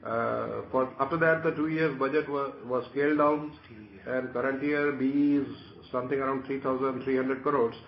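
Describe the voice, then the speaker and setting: A man with an Indian accent, a company executive explaining things haltingly on an earnings call. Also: medium pitch, steady tone, creaky. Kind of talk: answering analyst questions on an earnings call. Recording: muffled, echoey conference call with noise.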